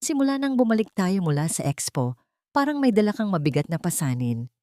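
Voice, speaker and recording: A woman voicing a gentle, perceptive heroine in an audio drama, tender and emotional. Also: medium pitch, very animated, fairly quick, no echo, clean studio sound, lightly acted. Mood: gentle concern. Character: gentle, perceptive woman in love, easily wounded.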